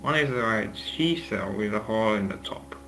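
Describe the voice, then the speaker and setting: A man's voice: lowish voice, expressive, normal speed, sharp tone, a little hiss or hum, poor audio. A man reading scripted narration aloud as the narrator of a table read, with music playing behind him.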